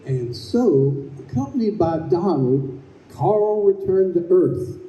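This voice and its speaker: A man performing as a measured, dramatic narrator of an old-time radio play. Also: high-pitched, lively intonation. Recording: dull echoey room, faint noise.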